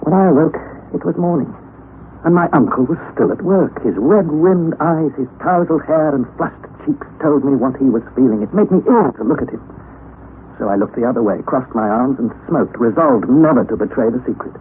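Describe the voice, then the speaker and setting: An actor playing an earnest young nephew who narrates an adventure in a dramatic old-time radio style. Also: male voice, medium pitch, expressive, moderate pace, lightly acted. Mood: tense, secretive. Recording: tinny, narrow, noisy vintage radio recording.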